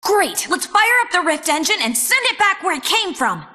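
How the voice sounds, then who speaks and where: A woman's voice: extremely high pitch, sing-song, moderate pace, sharp tone, no echo, heavily acted. A brave adventurer woman from a video game, who guides heroes with upbeat confidence. She is sassy and slips in wry asides. The sound is clean and dry, with no room around the voice. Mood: urgent, determined. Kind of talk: video game character voice lines.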